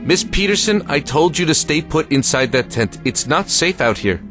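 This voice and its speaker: A man's voice: fairly high pitch, lively intonation, moderate pace, over music, lightly acted. A man playing a burdened, stern American army lieutenant in a war audio drama, firm and commanding.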